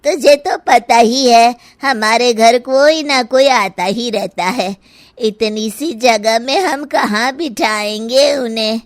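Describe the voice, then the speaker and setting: A woman voicing a fussy, easily alarmed older mother-in-law in an animated story, exclaiming and scolding. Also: a little high, takes it slowly, dry recording. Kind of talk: animated Hindi moral story acting.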